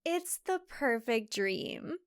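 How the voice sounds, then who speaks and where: Picture a gushing farm wife and hostess, performed by a woman narrator, pitched very high and relentlessly chipper. She chirps and squeals with forced enthusiasm in a comic audiobook, the sound smooth and dry. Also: expressive, slow, dry recording. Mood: breezy, beaming. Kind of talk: comic thriller audiobook character voice.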